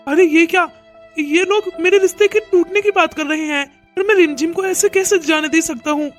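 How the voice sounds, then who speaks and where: A woman acts out a regretful young husband in a cartoon family melodrama. The voice is high and anxious, musing as if to himself. Light music plays underneath. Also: normal speed. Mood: worried, determined. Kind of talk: melodramatic cartoon story acting.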